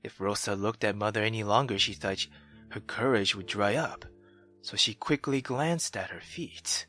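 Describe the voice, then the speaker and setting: A man reading a visual novel aloud as a let's player, expressive and informal. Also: on the low side, normal speed, faint music behind.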